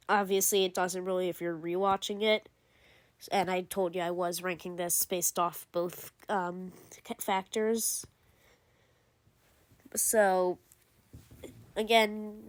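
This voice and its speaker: A woman's voice: mid-range voice, no room sound. A woman giving casual voice-over commentary as a video creator, rambling with lots of "like" and frequent self-corrections. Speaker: video creator ranking game cutscenes.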